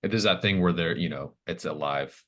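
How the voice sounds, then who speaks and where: A man's voice: low voice, a little fast. A man running a tabletop roleplaying game as game master, improvising narration and thinking aloud in a hesitant way.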